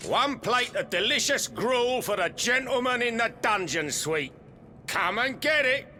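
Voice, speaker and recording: An actor playing a sarcastic, taunting dungeon guard in a video game. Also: male voice, extremely high pitch, lively intonation, a little slow, sharp tone, slight background noise, exaggerated voice. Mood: mocking. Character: mocking dungeon guard serving gruel. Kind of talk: video game cutscene acting.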